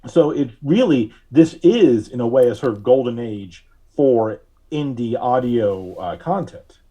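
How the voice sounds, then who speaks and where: Mellow-voiced man answering interview questions. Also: mid-range voice, animated, a little slow. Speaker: podcast guest, writer and filmmaker.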